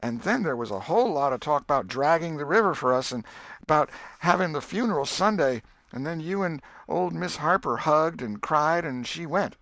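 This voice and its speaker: A man's voice: a little high, animated, normal speed, fairly bright tone, no echo, voice pushed up. A man voicing a mischievous, earnest young boy with a rural Southern American accent, telling his tale in an audiobook. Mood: eager recounting. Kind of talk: audiobook character voice.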